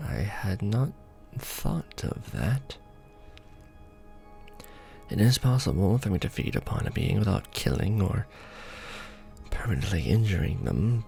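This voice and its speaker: A man as a deep, gravelly, courtly vampire lord. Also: very animated, mellow, soft background music, exaggerated voice. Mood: thoughtful, hesitant. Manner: formal, deliberate, tender, halting pauses. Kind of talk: ASMR romance roleplay.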